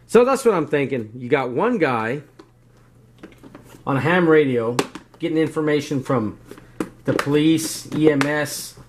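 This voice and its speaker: A man talking casually and unscripted as he demonstrates gear and gives advice, often checking in with "right?" and "you know". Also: mid-range voice. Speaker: video host demonstrating radio gear.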